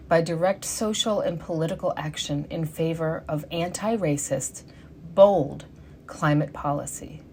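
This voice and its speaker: A woman delivering a read sermon at a church service, earnest and measured. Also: on the low side, darkish tone, a little hiss or hum. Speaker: lay sermon speaker.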